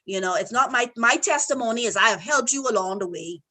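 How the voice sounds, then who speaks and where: Woman marriage coach, high and bright, emphatic, encouraging faith talk. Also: lively intonation, a little fast. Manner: emphatic and encouraging, repeats phrases. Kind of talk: faith-based conversation.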